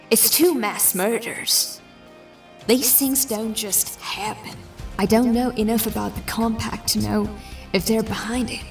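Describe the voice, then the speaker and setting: A woman plays a resolute female detective in a science fiction audio drama. Her mid-pitched voice has plenty of vocal fry and a purposeful, businesslike delivery. She sounds slightly away from the mic, with music underneath. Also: recorded from a distance. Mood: brooding, resolute. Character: determined, businesslike female detective in a sci-fi story. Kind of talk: audio drama acting, dialogue and monologue.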